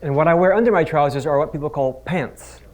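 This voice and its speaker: A man's voice: fairly high pitch, fast talker. Middle-aged male academic from the Netherlands, wry conference speaker on a stage mic, self-deprecating and chatty. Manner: wry, self-deprecating, conversational with frequent restarts. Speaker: physics professor giving a lecture. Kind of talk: conference talk with humorous anecdotes. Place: conference stage before a live audience.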